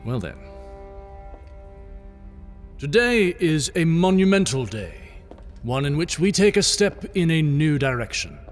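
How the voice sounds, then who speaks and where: A man's voice: on the high side, big pitch swings, a little slow, pauses often, faint music behind. Earnest king, sweeping intonation. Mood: solemn, resolute. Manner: solemn, oratorical. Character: earnest benevolent king addressing his people. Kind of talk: audio drama speech acting.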